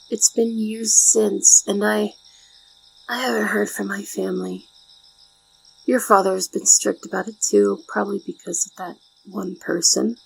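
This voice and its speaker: A shy young maid played by a woman in an ASMR roleplay, devoted and deferential. Her gentle voice is breathy and heavily creaky, with formal, careful wording. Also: mid-range voice, heavily acted, poor audio. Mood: polite, wistful.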